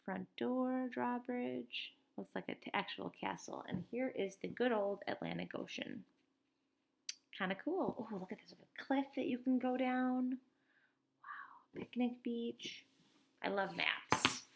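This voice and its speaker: A woman in her thirties, a teacher reading a children's chapter book aloud from home into a webcam, with lively read-aloud delivery and quick asides. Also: fairly high pitch, vocal fry. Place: small room at home. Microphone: webcam at desk.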